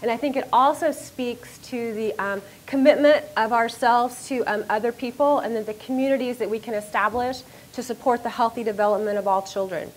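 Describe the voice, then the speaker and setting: A middle-aged woman, a university professor, giving an animated, self-deprecating lecture to an audience on a microphone in a lecture room. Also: fairly high pitch, a little fast, few pauses, slight background noise. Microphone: handheld or stand microphone.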